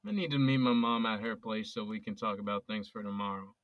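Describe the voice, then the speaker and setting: A man's voice: lowish voice, brisk, dry recording, lo-fi sound, natural voice. A man playing a young adult son with an accused father, bantering with his friend and earnest when it matters. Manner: joking banter that turns earnest. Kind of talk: amateur audio drama acting.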